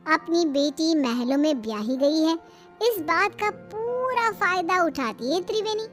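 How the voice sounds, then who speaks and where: A woman's voice: very high-pitched, fairly bright tone. A woman narrating a scripted moral story as its storyteller.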